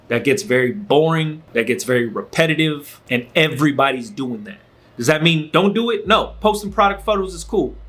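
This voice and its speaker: A man's voice: mid-range voice, a bit bright. A man from Los Angeles with a Californian accent gives casual instructional advice to camera as a host, speaking emphatically in repeated phrases and checking in with the listener. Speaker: host, clothing brand owner giving marketing advice.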